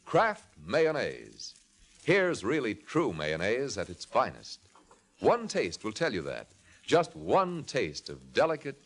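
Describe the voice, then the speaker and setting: Animated old-time radio announcer man. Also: fairly low pitch. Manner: polished, emphatic delivery. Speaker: announcer and narrator. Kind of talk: 1950s radio announcing, scripted.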